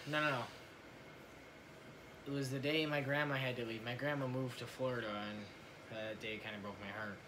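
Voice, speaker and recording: A man's voice: mid-range voice, fairly even intonation, a little fast, pauses often. A young man casually telling a personal story to camera in a conversational way, often repeating his words as he goes. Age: young adult. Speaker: family vlogger. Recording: noisy home recording.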